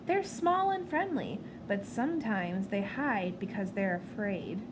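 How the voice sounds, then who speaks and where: A woman voicing a gentle, playful father in a children's storybook. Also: medium pitch, expressive, measured pace, noisy background, natural voice. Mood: reassuring.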